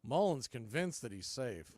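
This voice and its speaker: A man calling a baseball game live as a play-by-play announcer, mixing clipped pitch calls with folksy, casual asides. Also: sing-song, normal speed, a little mellow, no room sound. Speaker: baseball play-by-play announcer for a fan radio stream.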